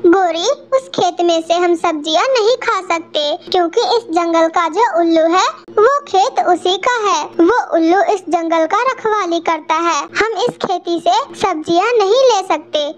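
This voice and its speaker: Cartoon songbird, played by a woman: tiny high voice, sensible, honest, gently cautioning. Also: unhurried, hardly any pauses. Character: sensible, cautious little female bird, honest. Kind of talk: Hindi cartoon story dubbing.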